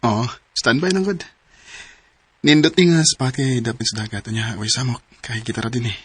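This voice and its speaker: A man's voice: medium pitch, normal speed, a little hiss or hum, lightly acted. A man playing a two-timing lover. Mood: coaxing. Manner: smooth and coaxing, then pleading. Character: Melvin, married man hiding his family, pleading. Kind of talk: radio drama acting.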